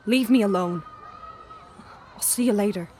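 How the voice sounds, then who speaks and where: A woman's voice: medium pitch, normal speed, darkish tone, some chatter behind, slight background noise, close to an everyday voice. A woman playing a sullen, scornful teenage schoolboy in an audio drama, curt and sarcastic. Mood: scornful irritation.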